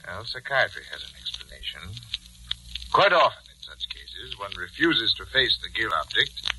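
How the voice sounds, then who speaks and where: A man playing a shrewd, suspicious doctor in a 1940s radio drama, measured, formal and pointed, on an old broadcast recording. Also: fairly low pitch, sing-song. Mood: probing, explanatory. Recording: faintly noisy vintage radio recording.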